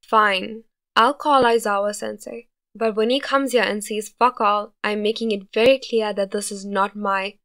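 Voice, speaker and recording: A woman voicing a gruff, short-tempered teenage boy who barks and swears loudly. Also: medium pitch, fairly even intonation, normal speed, close to an everyday voice. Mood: irritated. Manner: loud, barking, swearing.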